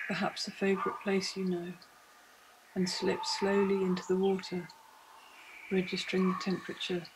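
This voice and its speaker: A woman leading a scripted guided meditation, speaking in short, deliberate, measured phrases. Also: medium pitch, a little mellow, slight background noise, low-quality recording.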